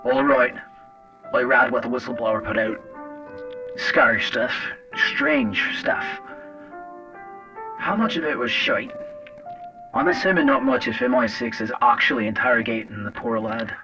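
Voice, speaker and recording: A man's voice: mid-range voice, sing-song, a little slow, creaky, pauses often, recorded from a distance. A man playing a wry, foul-mouthed intelligence agent, telling his story in a sardonic, conversational way in an audio drama. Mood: frank, familiar. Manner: sardonic, conversational, addresses the listener directly. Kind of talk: first-person audio drama narration and dialogue. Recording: dull lo-fi old-radio sound under music.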